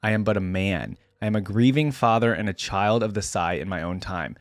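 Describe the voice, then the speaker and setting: A man reading aloud as a weary, grieving, defiant armored warrior in a solemn, measured storybook manner. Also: on the low side, dry recording, clean studio sound, pitched below the performer's own voice, natural voice. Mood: brooding, resolute. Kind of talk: dramatic first-person story reading.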